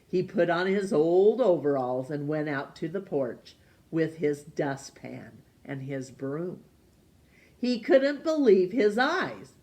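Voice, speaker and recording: A woman reading a children's picture book aloud for storytime, gently and expressively. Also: sits low. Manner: gentle, slow and expressive, voicing characters.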